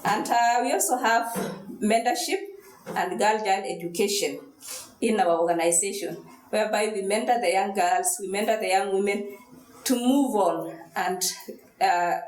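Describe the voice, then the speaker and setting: A woman giving an earnest, steady presentation as a social worker, pausing often on fillers. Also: mid-range voice. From Kenya, Rift Valley. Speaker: social worker and conference speaker. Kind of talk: prepared presentation. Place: university conference hall. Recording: echoey hall.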